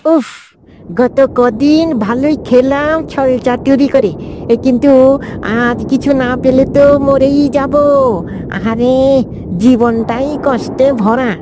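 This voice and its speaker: A woman voicing a sly, greedy fox in a children's cartoon, wheedling and scheming in a flattering way. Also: high-pitched, slow pace, noisy background. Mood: weary, hungry.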